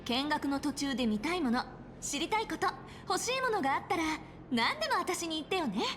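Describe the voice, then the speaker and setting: A bubbly young female office guide from a video game. Her high voice swoops playfully as she rattles along at great speed, chatty and teasing. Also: very animated, very fast, fairly bright tone, slight background noise. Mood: upbeat. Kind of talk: Japanese video game voice acting. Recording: game music underneath. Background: game music.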